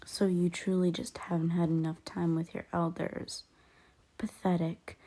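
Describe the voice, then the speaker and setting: Low, slow, mellow irritable werewolf played by a woman. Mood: cold, irritated. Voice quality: soft, often breaking into whispers. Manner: curt, scornful, exasperated sighing. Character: irritable, aloof female lone werewolf, scornful yet grudgingly helpful. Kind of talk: ASMR roleplay acting.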